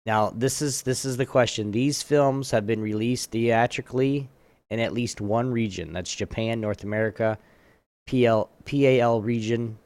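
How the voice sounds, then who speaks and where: Man, game show host, medium pitch, dry close sound, informal casual talk. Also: normal speed, a little mellow, no echo. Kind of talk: casual chat, running a trivia game.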